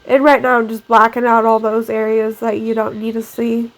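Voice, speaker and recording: A woman's voice: a little high, level tone, normal speed, low-quality recording. A young woman with a stuffy, nasal cold, chatting casually in a rambling way while narrating her game build. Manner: chatty and rambling, lots of "like" fillers. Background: faint background music.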